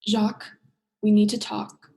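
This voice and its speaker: A woman's voice: medium pitch, monotone, measured pace, warm tone, slight background noise, lightly acted. A woman drama student acting a bitter, sarcastic young female scientist in a radio play, sardonic and emphatic, stretching stressed words. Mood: tense. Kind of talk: radio play monologue and dialogue.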